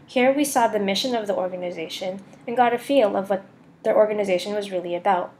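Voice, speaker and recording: A woman's voice: mid-range voice, quick pace, a little mellow, slight background noise. A young woman student reading a scripted voice-over for a slide presentation. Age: young adult.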